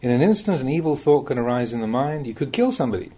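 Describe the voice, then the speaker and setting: A man reading a Buddhist Dhamma talk aloud as a monk, in the measured, plain-spoken way of a teacher, with rhetorical emphasis. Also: medium pitch, lively intonation, warm tone, breathy edge.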